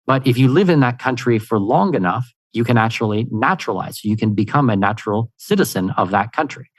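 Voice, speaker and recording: A man's voice: mid-range voice, a little fast, dry recording. Upbeat man on a podcast. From Canada. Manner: energetic and upbeat, many "you know" fillers. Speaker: guest, expat consultant. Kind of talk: conversational interview answers.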